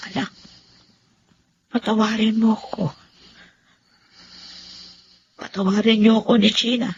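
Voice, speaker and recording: An actress playing a meddling but caring middle-aged mother in a Filipino radio drama, with an emotional, halting delivery. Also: fairly high pitch, flat delivery. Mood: contrite, tender. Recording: dull audio with faint noise.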